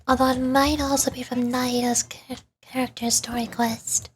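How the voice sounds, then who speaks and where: A woman's voice: high voice, level tone, normal speed, slight background noise. A woman livestreaming a video game, commenting casually and thinking aloud as she plays. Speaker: streamer, VTuber.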